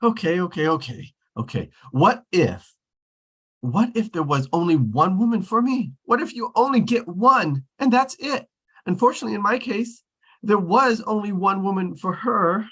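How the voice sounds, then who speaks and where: A man's voice: high voice, lively intonation, normal speed, a bit bright, breathy edge, heavily acted. Heartbroken twenty-something divorcee for a sitcom read, male actor, plaintive and mopey. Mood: self-pitying. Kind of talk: sitcom script table read.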